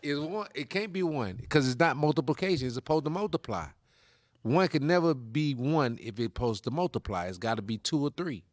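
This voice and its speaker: A man co-hosting a casual podcast chat, arguing his points and laughing, saying he is high. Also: brisk, dry recording. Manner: argumentative, animated, laughing. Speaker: podcast co-host.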